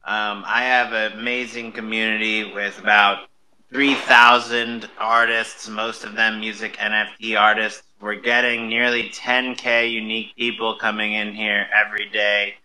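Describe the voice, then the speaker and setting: A man speaks as a radio show host in a casual, confident, self-promoting way. His voice is very bright and forceful, pitched slightly low. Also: little pitch movement, measured pace, a little hiss or hum, low-quality recording. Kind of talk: casual interview hosting.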